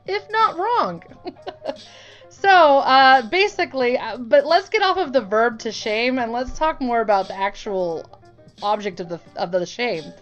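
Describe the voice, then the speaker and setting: A woman's voice: on the high side, vocal fry. Earnest young woman talking over music. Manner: earnest, conversational. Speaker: online art channel host; teacher. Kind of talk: informal reflective talk to viewers. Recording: voice with music behind.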